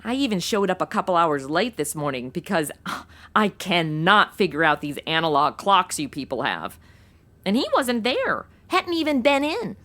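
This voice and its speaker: A woman's voice: fairly low pitch, big pitch swings, normal speed, pushed and bright. An actress playing a breezy, scatterbrained young modern woman in a comedy audio drama, flippant and full of slang. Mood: chatty, breezy. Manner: flippant, slangy Valley-girl phrasing with "like" and OMG. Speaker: lead character and narrator.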